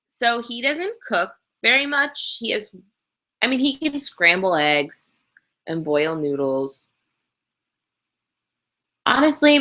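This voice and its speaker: Low husky-edged woman chatting. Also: pushed and bright. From Canada. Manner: chatty, candid, laughs easily, many ums. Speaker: host answering viewer questions. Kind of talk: casual live Q&A chat. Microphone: webcam on a live video hangout.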